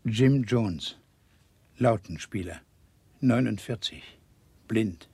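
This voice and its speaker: A man playing a pitiful blind musician in his forties in a radio play, breathy and imploring. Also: lowish voice, expressive, heavily acted. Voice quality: dark, breathy. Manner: slow, imploring. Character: pitiful blind lute player, 49, begging for mercy. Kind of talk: 1960s radio play acting.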